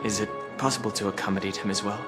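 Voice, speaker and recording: An actor playing a composed, serious young traveller in a video game, courteous and formal in a measured way. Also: male voice, on the low side, steady tone, natural voice. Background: loud game music.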